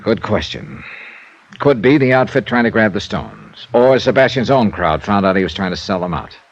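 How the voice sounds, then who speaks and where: An actor playing a tough, suspicious American investigator in an old-time radio drama, with a clipped, wry, interrogating manner. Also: male voice, fairly low pitch, expressive, moderate pace. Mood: probing, matter-of-fact. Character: tough, suspicious, sardonic male insurance investigator. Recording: dull, band-limited old radio recording.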